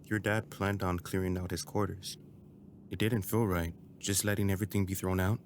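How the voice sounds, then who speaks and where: A man's voice: sits low, level tone, normal speed, a little hiss or hum. Man acting guarded young agent, faint ambience. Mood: subdued, careful. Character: conflicted, guarded young male agent, loyal but pragmatic. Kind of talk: audio drama acting.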